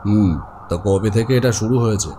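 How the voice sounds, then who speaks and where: A man voicing a learned psychiatrist who explains things to a patient in a deliberate, measured way. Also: lowish voice, warm tone, a little hiss or hum, natural voice, poor audio. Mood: calm, explanatory. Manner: deliberate, explanatory, hesitant hums.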